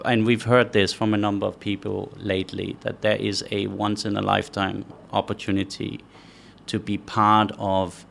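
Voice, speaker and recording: Gravelly low-voiced man. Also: fairly bright tone. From Denmark. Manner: measured, thinks aloud with frequent restarts. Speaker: guest, company founder. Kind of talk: conversational interview answers.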